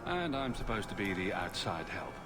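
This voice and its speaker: An actor playing a wry, weary adventurer hero in a video game, with a sardonic manner. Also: male voice, low-pitched, very animated, moderate pace, over music.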